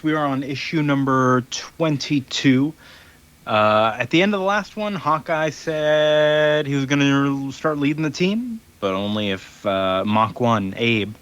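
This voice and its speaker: A man's voice: mid-range voice, fairly bright tone, dull audio. A man hosting a podcast, recapping in casual chat and loosely acting out dialogue in an informal way. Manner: informal, acts out characters' dialogue in loose paraphrase. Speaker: podcast host.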